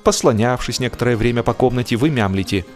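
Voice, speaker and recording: Male audiobook narrator, mid-range voice, expressive and wry, emphatic phrasing with long pauses, faint music underneath. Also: medium pitch. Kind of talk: expressive literary narration of a humorous classic.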